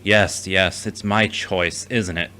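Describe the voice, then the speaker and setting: A man's voice: deep voice, steady tone, slow pace, sharp tone, slight background noise, exaggerated voice. A man playing a conflicted, earnest soul who wrestles with God and himself in an audio drama. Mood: pleading, troubled. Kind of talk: scripted audio drama acting.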